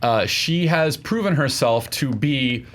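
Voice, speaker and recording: A man with a mid-range voice lays out an informal argument on a film debate show. He speaks haltingly, padding his points with fillers as he builds them. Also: mid-range voice, lively intonation, fairly bright tone. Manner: hesitant, filler-heavy delivery. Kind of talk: informal argument about films.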